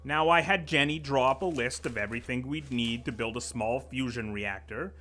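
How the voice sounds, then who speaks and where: An actor playing a smug, scheming middle-aged city official who talks in glib, wheedling turns. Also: male voice, mid-range voice, moderate pace, sharp tone, close to an everyday voice. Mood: businesslike. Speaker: acting director of utilities. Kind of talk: audio drama acting.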